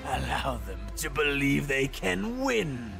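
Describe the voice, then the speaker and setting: A man's voice: medium pitch, big pitch swings, slow pace, vocal fry, full character voice. A man voicing a grandiose, scheming villain in a pompous, oratorical monologue. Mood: grandly scheming. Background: music.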